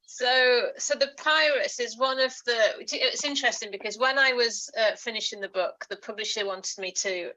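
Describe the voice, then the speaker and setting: A woman's voice: on the high side, moderate pace, few pauses, sharp tone, poor audio. A woman author as interview guest, chatty and enthusiastic, laughing often as she talks. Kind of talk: book club interview.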